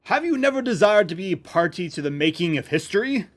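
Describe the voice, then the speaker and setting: Man acting a haughty knight, theatrical delivery, higher-set voice, wide melodic swings, grandiose fantasy storybook speech. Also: big pitch swings, a little fast. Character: pompous, boastful male knight, theatrical and vain. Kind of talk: amateur dramatic reading of fantasy story.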